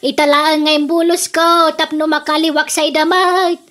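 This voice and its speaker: Woman acting a high-pitched feisty Ilocano village busybody. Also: moderate pace. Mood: scornful, bickering. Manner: animated, teasing, bickering. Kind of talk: comedic radio drama acting.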